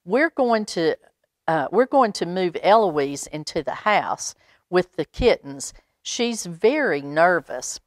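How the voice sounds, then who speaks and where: A woman voicing an anxious, excitable young boy in a storybook, calling out and giving urgent instructions. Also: on the low side, slow pace, no room sound, clean studio sound. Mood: concerned. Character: excited, anxious young boy looking after his cat.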